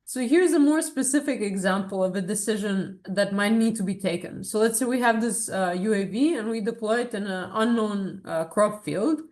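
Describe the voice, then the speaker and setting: A woman's voice: medium pitch, moderate pace, few pauses, a little mellow. Woman academic presenting research, informal and explanatory. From born in Serbia, grew up in Abu Dhabi. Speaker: academic researcher giving a talk.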